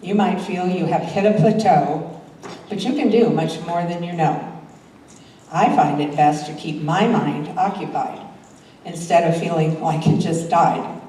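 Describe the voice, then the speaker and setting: Older woman, mellow lowish voice, poetry recital, very echoey room, distant mic. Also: measured pace. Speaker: amateur poet reading own poems. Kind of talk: introducing and reciting poetry to a live audience. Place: hall at a church talent show. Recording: very echoey hall, distant mic, faint noise.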